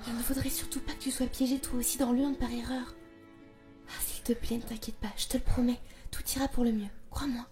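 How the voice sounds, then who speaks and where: A woman playing a young princess, an earnest and expressive younger sister, in a French fandub of a fantasy drama. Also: on the high side, brisk, close to an everyday voice. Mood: hushed, reassuring. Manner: emotional, expressive. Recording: music under the voice.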